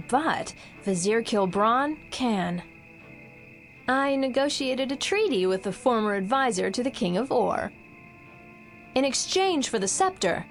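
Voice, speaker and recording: A resolute rebel leader in a video game cutscene. Her voice is fairly high, assured and declamatory, with faint music underneath.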